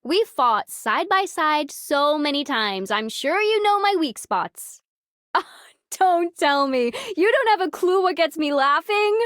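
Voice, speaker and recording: A playful, teasing young game heroine. She is high-pitched and lilting, and takes her time. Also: animated, slow, fairly bright tone, dry recording, clean studio sound, heavily acted. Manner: teasing, familiar. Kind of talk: game character voice line.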